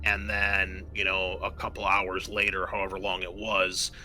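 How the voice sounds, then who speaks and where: A man running a tabletop roleplaying game as game master, explaining rules and narrating with halting pauses mid-sentence. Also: sits low, completely flat delivery, pushed and bright, slight background noise, rough recording.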